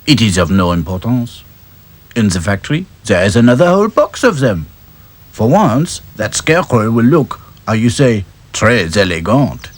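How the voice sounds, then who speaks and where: A man's voice: fairly low pitch, very animated, moderate pace. Man voicing a French-accented doll gent, suave, languid. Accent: French, put on.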